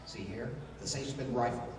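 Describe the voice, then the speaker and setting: Commanding pulp-hero leader played by a man, analytical 1930s radio manner, mid-pitched voice, very echoey noisy recording. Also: moderate pace, close to an everyday voice. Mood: grave, deliberate. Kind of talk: 1930s-style radio play acting. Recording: echoey hall from far off, noisy.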